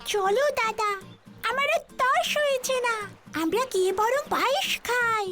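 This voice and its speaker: Tiny squeaky eager rabbit. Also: unhurried. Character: small clever rabbit, eager and bossy leader. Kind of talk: children's cartoon dubbing. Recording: music bed under the voice.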